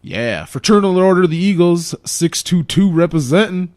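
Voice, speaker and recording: A man's voice: sits high, animated, moderate pace, darkish tone. A young adult man co-hosting a podcast, joking and laughing a lot in casual chat. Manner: joking, laughs often, swears. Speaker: podcast co-host.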